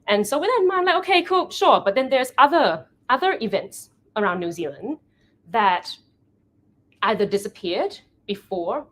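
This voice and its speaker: Animated woman telling a story. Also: on the high side, moderate pace. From Thailand. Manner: animated storytelling, acting out quoted conversations; often corrects herself mid-sentence. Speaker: event founder giving a talk. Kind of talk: meetup presentation.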